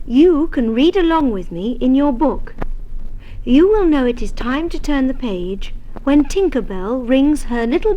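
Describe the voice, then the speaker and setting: A woman reading a children's storybook aloud in a gentle, deliberate way. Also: sits high, highs cut off, slight background noise. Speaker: story reader. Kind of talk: scripted storybook narration for children.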